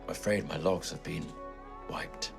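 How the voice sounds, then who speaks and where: Man voicing a calm, very low ship computer. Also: vocal fry, soft background music, full character voice. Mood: flat, apologetic. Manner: measured, politely formal, deadpan. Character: calm, apologetic ship computer, a disembodied artificial intelligence.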